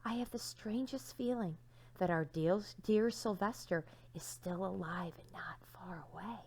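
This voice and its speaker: A woman's voice: mid-range voice, measured pace, darkish tone, slightly rough. A woman voicing a donkey mother in a children's storybook, wistful and tender as she longs for her lost son. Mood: wistful hope. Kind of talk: children's storybook read-aloud.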